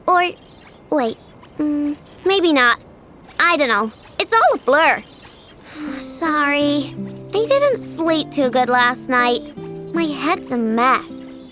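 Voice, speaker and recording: A woman voicing an expressive young girl inventor in a video game, blunt and excitable, with grumpy, sleepy edges. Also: extremely high pitch, full character voice. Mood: cheerful, then tired, grumbling. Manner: expressive, blunt, childlike speech. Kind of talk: video game character voice acting.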